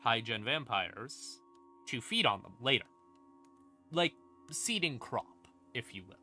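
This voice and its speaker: A man explaining game lore on a casual livestream, conversational and playful, with dramatic pauses and asides. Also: mid-range voice, animated, pushed and bright. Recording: ambient music behind the voice. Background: creepy ambient music.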